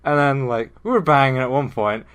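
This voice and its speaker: A young man co-hosting a casual comedy podcast, chatting and telling stories in a halting, giggly way. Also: medium pitch, fairly bright tone, smooth. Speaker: podcast co-host.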